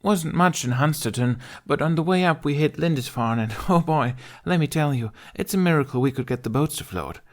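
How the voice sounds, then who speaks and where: A man's voice: on the high side, animated, voice pushed up, close to an everyday voice. A man voicing a brash, boastful young Viking girl thief in a storybook reading, chatty and cocky. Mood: proud, excited. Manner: chatty, cocky, fast-moving talk. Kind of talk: character voice in audiobook narration.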